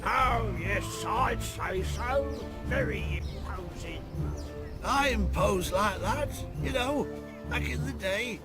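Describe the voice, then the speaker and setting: A man's voice: high voice, animated, takes it slowly. An actor playing a rambling old male minion in a game cutscene. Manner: rambling, reminiscing. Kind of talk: video game cutscene acting. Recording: game audio with music behind.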